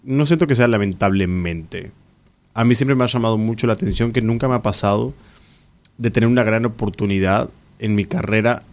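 A man's voice: fairly low pitch, fairly quick. A young man from Uruguay with a mixed Uruguayan, Argentine and Mexican Spanish accent, an actor and musician speaking in a casual interview, rambling and correcting himself as he goes. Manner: rambling, self-correcting, earnest.

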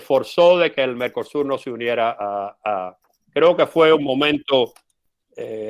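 Interview guest, male, second-language speaker, steady explanatory delivery with hesitations. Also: medium pitch. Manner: measured, frequent "eh" fillers, explanatory. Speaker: interview guest, former US policy adviser. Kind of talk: conversational interview answer.

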